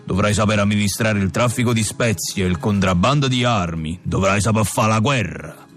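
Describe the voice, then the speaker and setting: Guy doing a deep gruff neapolitan crime boss dad in a radio comedy, soft music under. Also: moderate pace, lightly acted. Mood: exasperated. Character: jailed mob boss father, gruff. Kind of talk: comic radio drama.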